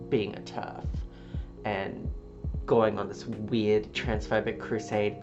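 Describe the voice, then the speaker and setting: A YouTuber talking in a casual opinion vlog, a little sick and sniffly, energetic and swearing freely. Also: medium pitch, gravelly. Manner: energetic, swearing, with frequent cheers and laughs. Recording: dull lo-fi audio with guitar music behind.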